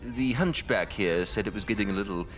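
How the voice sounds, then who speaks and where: A man's voice: on the low side, brisk, fairly bright tone, soft background music, lightly acted. A man playing a sinister, courteous undead colleague in a campy horror drama, stilted and overly formal. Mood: politely sinister.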